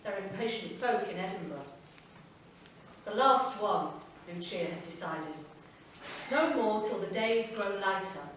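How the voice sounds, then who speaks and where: A woman with a British accent giving a slow, measured literary reading at a live festival event. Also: medium pitch, fairly bright tone, a little hiss or hum. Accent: British English. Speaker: reader. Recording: echoey live room from far off, faint ambience.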